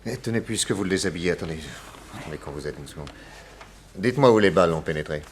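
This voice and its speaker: An actor playing a calm, authoritative male detective in a 1960s French radio drama, deliberate and courteous. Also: deep voice, slight background noise. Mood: assured, analytical.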